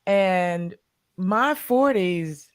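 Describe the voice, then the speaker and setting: A woman in her forties, a panelist in a casual group chat, loud, playful and quick to laugh. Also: lowish voice, lively intonation, dry recording, studio quality. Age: 40s.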